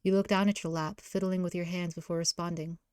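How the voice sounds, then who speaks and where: A woman with a low voice narrates a visual novel aloud. The sound is dry and close, as she reads the story prose for a readalong. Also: little pitch movement, a little fast, a little mellow, clear, resonant tone, no room sound.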